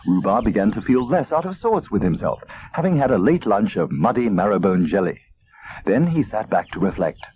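Hugely animated male children's storyteller. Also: on the low side, moderate pace. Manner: expressive, theatrical. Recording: dull tape transfer, faint noise.

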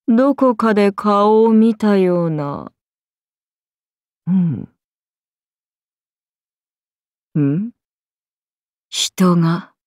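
An actress playing a haughty ancient serpent goddess in a video game, speaking in an imperious, archaic and languid way, amused and menacing. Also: female voice, mid-range voice, a little mellow, no echo, studio quality, lightly acted. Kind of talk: video game character voice lines.

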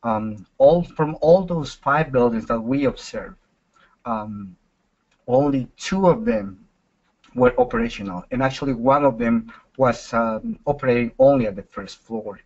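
A young man from Ecuador presents slides in a webinar at a measured pace, with the odd filler. His voice sits mid-range, and the recording is muffled and lo-fi. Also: medium pitch, animated, a little mellow. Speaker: student presenter. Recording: dull lo-fi webinar audio.

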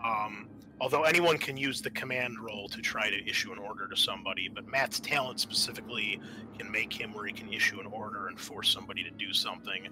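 A man acting as game master, explaining and narrating a tabletop game in a casual, halting way. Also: fairly low pitch, steady tone, noisy background.

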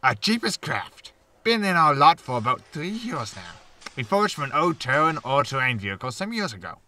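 A man's voice: fairly high pitch, sing-song, normal speed, bright, forceful tone, far from the mic, exaggerated voice. An actor playing a smarmy, irritable male used-spaceship salesman in a sci-fi comedy audio drama.

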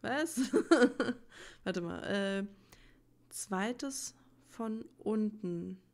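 A woman's voice: medium pitch, animated, extremely slow. A woman playing a video game, thinking aloud in colloquial asides and reading game text. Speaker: let's play host. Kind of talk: casual gaming commentary with read-aloud game text.

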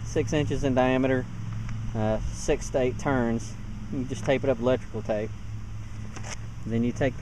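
A man giving a casual, plain-spoken hands-on tutorial as a hobbyist demonstrator, recorded outdoors on a handheld camera. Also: medium pitch. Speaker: DIY radio hobbyist demonstrator. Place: outdoors in a yard. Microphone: handheld camera mic. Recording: outdoor handheld camera, clear background noise.

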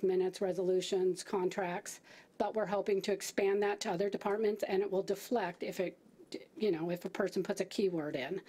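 A woman's voice: mid-range voice, mellow. A middle-aged woman at a podium giving a staff briefing to a city council, talking conversationally with fillers and restarts. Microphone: podium microphone.

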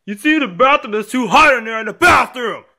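A man voicing a rude, whiny young boy in a homemade puppet-style story, yelling in high bursts. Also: wildly swooping pitch, normal speed, full character voice. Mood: whiny, upset. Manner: whiny, defiant, yelling outbursts.

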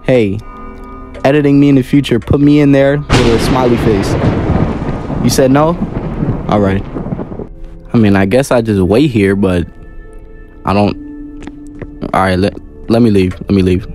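A man's voice: medium pitch, steady tone, unhurried, long pauses, music in the background. Slangy young man reacting to a game. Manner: slangy, exclamatory, joking. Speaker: gamer making videos. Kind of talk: casual horror game playthrough commentary.

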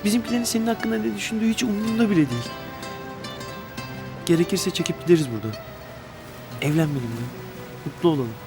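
A man's voice: sits high, quick pace, long pauses. An actor playing a young rich man who pleads desperately and pities himself, in a TV drama with music beneath. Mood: pleading. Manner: pleading, emotional outbursts. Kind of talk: TV drama acting.

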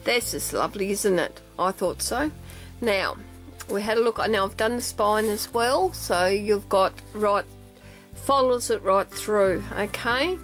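A woman's voice: mid-range voice, animated, a little slow, soft background music, poor audio. Rambling, chatty woman showing her crafts. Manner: chatty, rambling, lots of ums and checking "okay?". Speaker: craft vlogger. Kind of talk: casual show-and-tell craft video. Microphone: camera mic at a tabletop, hands only in shot.

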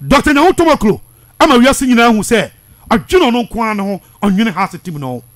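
A man's voice: extremely high pitch, lively intonation, fairly quick, a bit bright. A man in his thirties with a Ghanaian accent giving heated sports commentary, emphatic, insistent and repetitive, mixing languages at a studio microphone. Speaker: sports radio presenter. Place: small radio studio. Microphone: studio microphone close up.

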